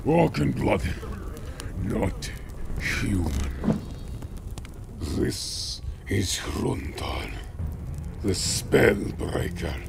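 An actor playing a raging orc warrior king who threatens and bellows in drawn-out phrases in a fantasy audio drama. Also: deep voice, big pitch swings, creaky, background noise, exaggerated voice. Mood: menacing.

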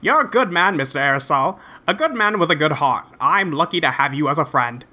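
A performer voicing an earnest, polite young gentleman adventurer with folksy, wordy, old-fashioned speech in a comic read-aloud. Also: medium pitch, animated, brisk. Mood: genial.

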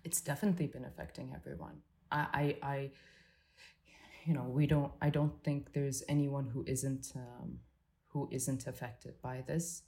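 A woman psychotherapist talks through her view in a halting, reflective way, full of restarts and fillers. Her voice sits low and sounds mellow. The effect is a thoughtful guest working out her ideas as she speaks. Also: fairly even intonation. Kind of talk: reflective conversational interview.

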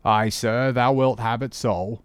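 A man acts out a ship's first mate in a storybook sea tale, loyal and deeply troubled. His speech is solemn, pleading and archaic, in a mid-pitched voice with a dry, close sound. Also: fairly even intonation, a little slow, smooth, no room sound, close to an everyday voice. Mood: sad, resigned.

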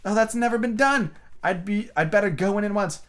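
A man's voice: fairly high pitch, expressive, fast talker, natural voice. A man voicing a young girl who sounds puzzled and impatient, fretting and asking questions. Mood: fretful. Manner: fretful, questioning.

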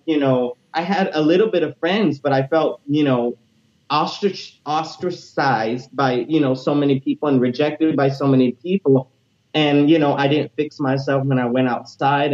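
A man in his late twenties speaks with a high tenor voice, sitting a little above most men. He tells his story with animated, energetic delivery. The recording sounds muffled and lo-fi. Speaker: interview guest, teacher and music minister. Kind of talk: personal testimony interview.

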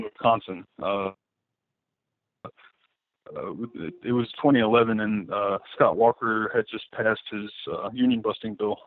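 A man's voice: on the low side, no room sound. A man, a guest artist, talking casually and rambling with many fillers and restarts. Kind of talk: casual interview talk. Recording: tinny phone-like line.

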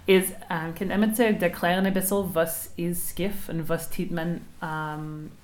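Halting low-voiced woman interviewer. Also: little pitch movement, slight background noise. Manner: halting, searching for words, frequent hesitations. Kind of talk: casual interview questions.

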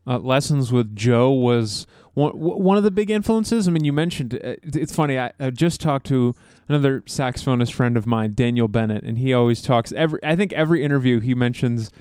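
A man's voice: medium pitch, animated, fairly quick, a little mellow, clear, resonant tone, no room sound, clean studio sound. A man hosting a podcast and interviewing a musician in a friendly, chatty way. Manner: friendly, chatty, laughs easily. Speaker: podcast host. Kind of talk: conversational interview.

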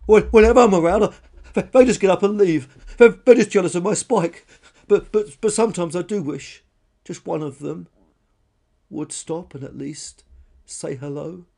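A man's voice: high voice, lively intonation, brisk, few pauses, darkish tone, voice pushed up. A man voicing a vain, defensive female dinosaur in a children's story, stammering and indignant. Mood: tearful, self-pitying.

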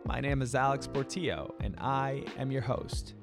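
A man's voice: mid-range voice, normal speed, creaky. Enthusiastic male podcast host. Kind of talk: scripted podcast introduction and interview. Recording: music playing beneath the voice.

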